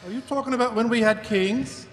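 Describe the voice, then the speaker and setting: An actor playing an earnest, naive know-it-all member of a secret society in comic radio theatre. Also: male voice, very high-pitched, brisk, a bit bright. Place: stage in a hall. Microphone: stand microphone. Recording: echoey live stage hall.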